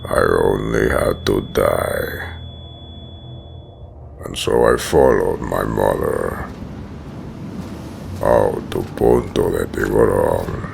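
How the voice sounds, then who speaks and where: A man playing a huge, menacing, obsessed prison-bred villain in a comic dub, narrating with slow, grandiose deliberation in a rumbling, gravelly voice. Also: slight background noise, heavily acted. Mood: brooding, resolute. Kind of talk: dramatic first-person comic dub narration.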